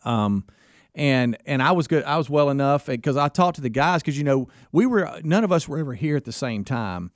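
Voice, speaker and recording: A man's voice: medium pitch, animated, a little fast, no echo, studio quality. A man co-hosting a radio talk show, telling a long rambling story full of restarts. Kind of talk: casual chat.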